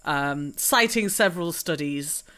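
A woman's voice: low voice, lively intonation, a little slow, a bit bright, clean tone. A woman playing a tabletop roleplaying game, narrating her character in a theatrical, learned manner. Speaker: player.

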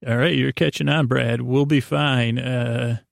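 A man hosting a sleep podcast with a rambling, improvised monologue, halting and circling back on himself in an unhurried, lulling way. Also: medium pitch, fairly even intonation, gravelly, no room sound, studio quality. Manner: halting, meandering, self-interrupting, with trailing repetitions. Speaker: podcast host.

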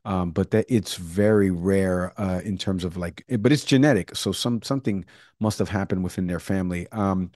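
A man with a lowish voice hosts a talk segment and tells a story loosely, stumbling and restarting as he goes. The sound is dry and close to the mic. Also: normal speed, a little mellow, no echo. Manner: rambling, with fillers and restarts. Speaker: show host. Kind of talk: casual news talk segment.

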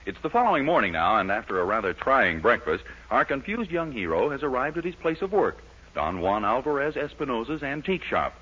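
A man's voice: medium pitch, very animated, a little fast, pushed and bright, breathy edge. A man announcing in scripted 1940s radio style, narrating a comedy show. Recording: dull old radio, faint noise.

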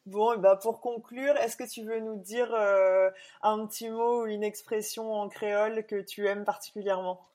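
A woman's voice: medium pitch, fairly even intonation, normal speed, few pauses, a little mellow. A woman hosting a podcast interview, asking long questions full of hesitations and fillers. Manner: hesitant, many fillers, friendly laughs. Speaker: podcast host.

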